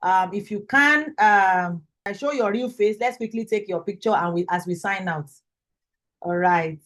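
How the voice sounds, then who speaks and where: A woman's voice: medium pitch, measured pace, fairly bright tone. A woman with a Nigerian accent informally hosting an online event as its instructor on a video call. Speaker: host and lead instructor of an online graduation. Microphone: video call microphone.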